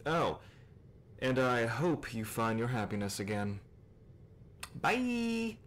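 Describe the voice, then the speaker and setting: A man's voice: mid-range voice, big pitch swings, slow, noisy background. A man playing a flippant, breezy friend leaving a casual voicemail in an audio roleplay.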